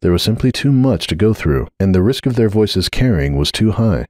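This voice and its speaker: A man's voice: fairly low pitch, animated, moderate pace, a little mellow, no echo, studio quality. A man giving a dramatic scripted narration of a story.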